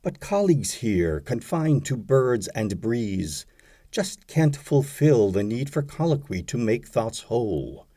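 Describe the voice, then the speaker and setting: A man reading poetry for an audiobook in an expressive, deliberate way, setting phrases apart with pauses. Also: medium pitch. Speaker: audiobook narrator, poetry reader.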